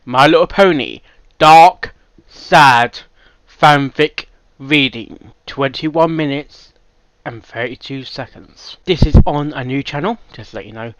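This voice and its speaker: A man's voice: mid-range voice, takes it slowly, fairly bright tone, dry recording, poor audio. A man giving casual live reaction commentary as the host of a reaction channel.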